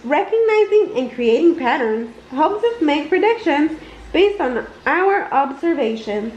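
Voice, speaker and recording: A woman reading a children's picture book aloud with an expressive, deliberate storytelling delivery in an indoor room. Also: high voice. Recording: roomy, dull home recording with noise.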